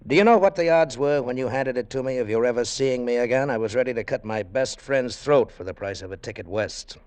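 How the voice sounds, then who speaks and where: An actor playing a weary, cynical adventurer with a wry, hard-boiled delivery in a 1940s radio drama. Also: male voice, mid-range voice, a little fast, a little mellow, clean tone, close to an everyday voice. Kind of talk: 1940s radio acting with first-person narration.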